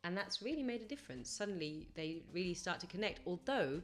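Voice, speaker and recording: Brief: young female singer, low-pitched, chatty and reflective podcast guest, loose and rambling. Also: animated, fairly quick. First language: English first language. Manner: chatty, reflective, rambling with fillers and self-corrections. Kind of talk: casual podcast interview storytelling.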